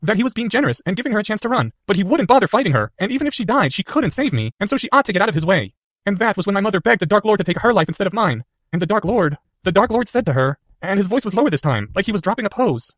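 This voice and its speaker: A man's voice: sits high, sing-song, racing, a bit bright, no room sound, studio quality, pitched above the performer's own voice, exaggerated voice. A man reading the part of a clever young boy wizard, talking in an earnest, urgent way. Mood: shaken.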